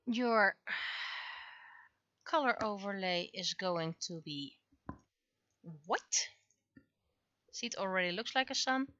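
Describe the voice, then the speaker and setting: A woman's voice: mid-range voice, animated, takes it slowly, pauses often, pushed and bright, dry recording, highs cut off. A woman livestreaming as she draws, thinking aloud casually and muttering to herself as she works. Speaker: livestreaming webcomic artist. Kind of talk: casual livestream commentary while drawing.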